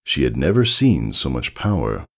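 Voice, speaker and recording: Very deep-voiced male storyteller, gravelly. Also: very animated, normal speed. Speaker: fan fiction reader. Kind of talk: expressive scripted story narration.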